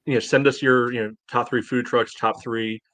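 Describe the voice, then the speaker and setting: A man's voice: mid-range voice, steady tone, normal speed, a bit bright, no echo. A man co-hosting a soccer podcast in casual banter, with an opinionated, teasing way of talking. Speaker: podcast co-host.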